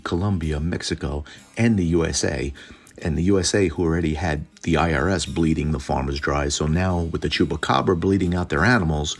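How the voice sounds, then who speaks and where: A man with a gravelly, husky voice narrating lore informally, with a wry, irreverent manner. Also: deep voice, a little mellow. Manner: irreverent, wry, mildly profane. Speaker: host.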